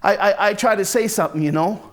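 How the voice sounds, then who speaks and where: Actor as volatile, higher-voiced hard-drinking Marine. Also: male voice, lightly acted. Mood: hurt, defensive. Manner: raw, profane, halting then bursting into anger. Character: volatile, hard-drinking, foul-mouthed Marine from a rough neighborhood, confessing. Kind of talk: first-person dramatic monologue, conversational storytelling.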